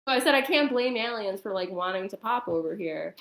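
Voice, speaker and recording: A woman chatting casually and explaining things in a hesitant, halting way, with a roomy echo on the recording. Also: medium pitch, lively intonation, a bit bright. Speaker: guest on a talk stream. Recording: roomy, echoing sound.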